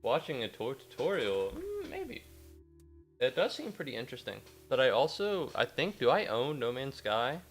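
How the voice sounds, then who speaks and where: A man's voice: mid-range voice, huge pitch swings, normal speed, faint music behind. A young American man, a little sniffly after a cold, chatting in a rambling, self-mocking way to his viewers during a casual game livestream. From United States. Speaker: game streamer. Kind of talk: casual livestream chat while gaming.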